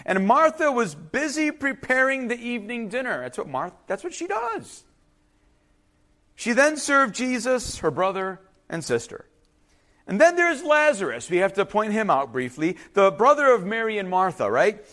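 A man with a high-pitched, highly animated voice delivers a sermon. He is a native Ukrainian speaker. He reads and explains scripture informally, breaking off for asides and turning to his listeners to check they follow. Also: normal speed. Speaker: preacher.

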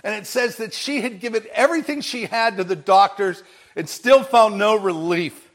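A male pastor with an unusually high-pitched, big voice delivers a sermon. He tells the story with energy and acts out the lines people say. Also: normal speed. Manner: animated storytelling, acting out bits of dialogue.

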